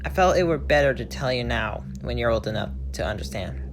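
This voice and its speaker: A woman voicing a gruff, sorrowful old crab father who speaks haltingly in salty sailor phrases, with music behind. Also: rumbling low, expressive, background noise, voice pushed down. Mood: tearful, confessing.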